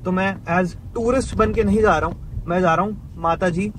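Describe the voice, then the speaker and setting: Chatty high-voiced male travel vlogger. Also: normal speed, a little hiss or hum, rough recording. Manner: chatty, thinks aloud, mixes in English words. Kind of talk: travel vlog narration. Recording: background music under the voice.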